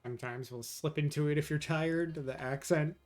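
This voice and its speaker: A man's voice: a little high, fairly even intonation, moderate pace, a little mellow. A man from New York chatting casually with his viewers while streaming a game, answering chat as he plays. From New York, USA. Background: faint game music.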